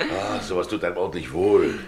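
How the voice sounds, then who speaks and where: An actor playing a jovial middle-aged ex-actor innkeeper in a German radio play, declamatory, pompous and playful. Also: male voice, deep voice, gravelly.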